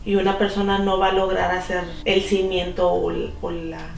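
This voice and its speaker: A woman in her thirties being interviewed, speaking in an earnest, measured way. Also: fairly low pitch, level tone, gravelly, a little mellow, echoey room, distant mic, rough recording. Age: 30s. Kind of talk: documentary interview.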